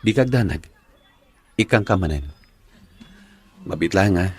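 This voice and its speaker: Nababa ti timekna nga agay-ayat a baro. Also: male voice, deep voice, animated, measured pace, slightly rough, full character voice. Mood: concerned, tender. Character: earnest young male office worker, a caring suitor. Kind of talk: Ilocano radio drama acting.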